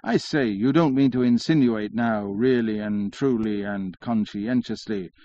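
A man voicing an evasive, self-important society gentleman who drawls out his syllables in an affected way. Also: on the low side, a little slow, muffled recording, lightly acted. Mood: coy, drawling.

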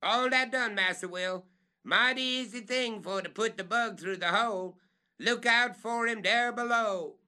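A man voicing an old servant who calls down from high in a tree in a strained, high voice with thick rural dialect. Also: very animated, moderate pace, a bit bright. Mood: calling, wary. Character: old male servant calling down from a treetop.

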